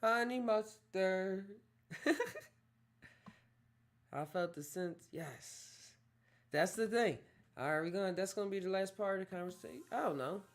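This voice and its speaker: A man's voice: high-pitched, a little slow, pauses often. A man in his twenties hosting a solo podcast, talking in a rambling, reflective way and often correcting himself. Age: 20s. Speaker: podcast host. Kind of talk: casual solo podcast talk. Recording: guitar music playing under the voice.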